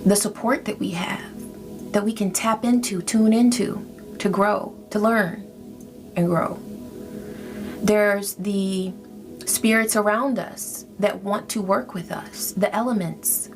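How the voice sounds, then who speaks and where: A woman giving a spiritual tarot reading in a soft, reflective, gently encouraging way. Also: mid-range voice, fairly even intonation, takes it slowly. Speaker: tarot reader. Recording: faint music behind.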